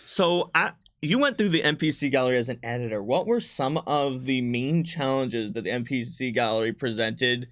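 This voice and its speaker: A man's voice: medium pitch, moderate pace, hardly any pauses, dry recording, studio quality. A man, a game designer, talking casually as he co-hosts a livestream about a product.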